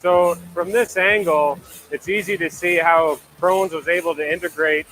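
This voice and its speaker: A man's voice: fairly high pitch, fairly even intonation, moderate pace, a bit bright, slight background noise, rough recording. A man explaining production equipment in a promotional video, in plain practical language. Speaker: brewery production worker overseeing brewing and packaging.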